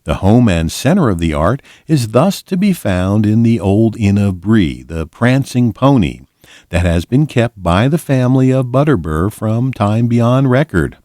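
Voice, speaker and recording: Male storybook narrator, low register, animated intonation, measured read-aloud style for fantasy prose. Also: dry recording, clean studio sound. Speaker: volunteer storybook reader. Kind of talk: scripted audiobook narration of a fantasy book.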